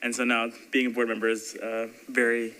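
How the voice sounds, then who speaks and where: A man from Nashville, a community organizer, introducing himself at a meeting in a friendly, excited way. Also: medium pitch, fairly quick, a little hiss or hum. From Nashville, Tennessee.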